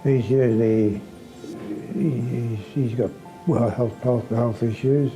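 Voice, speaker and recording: An older man giving a halting personal account in an interview, repeating words. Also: medium pitch, steady tone, music in the background, low-quality recording.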